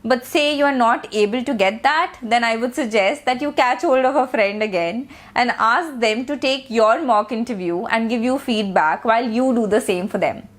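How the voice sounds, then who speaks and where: A young woman whose first language is Hindi, giving steady, clearly spoken communication tips as a coach. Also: a little high, moderate pace, hardly any pauses. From India. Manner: steady, instructive, clearly enunciated. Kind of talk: scripted advice talk to camera.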